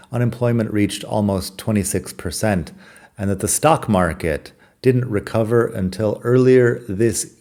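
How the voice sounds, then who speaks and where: A man's voice: lowish voice, darkish tone. A middle-aged American man hosting a podcast, reading his own scripted personal essay in a wry, self-deprecating, reflective way. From United States. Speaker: podcast host.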